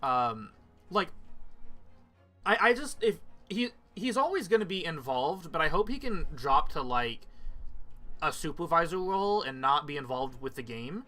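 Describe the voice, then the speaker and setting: A man's voice: on the high side, big pitch swings, measured pace, sharp tone. A man chatting casually with friends on a livestream, swearing freely as he talks. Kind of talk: casual group chat on a livestream. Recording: music playing behind the voice. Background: background music.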